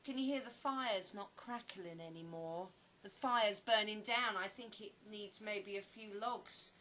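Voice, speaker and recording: A woman's voice: mid-range voice, measured pace, pushed and bright, rough recording. An actress playing an earnest, philosophical woman survivor in an audio play, with reflective, dramatic phrasing. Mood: calm, matter-of-fact.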